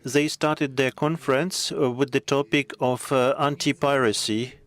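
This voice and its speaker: A man speaking on a conference panel in a measured, hesitant way. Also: mid-range voice, fairly even intonation, fairly bright tone. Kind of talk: panel discussion.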